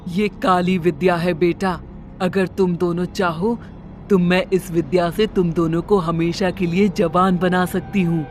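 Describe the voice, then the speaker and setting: A woman's voice: on the low side, fairly even intonation, a little slow, a little mellow, faint music behind, natural voice. Woman acting a menacing, commanding witch. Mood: sinister, coaxing. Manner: commanding, ominous. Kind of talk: Hindi cartoon horror story acting.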